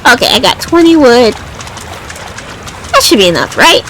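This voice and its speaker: A woman's voice: high-pitched, sing-song, normal speed, a bit bright, slight background noise, low-quality recording. A woman casually commentating on her video game playthrough and reading game text aloud. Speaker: gamer playing and reading aloud.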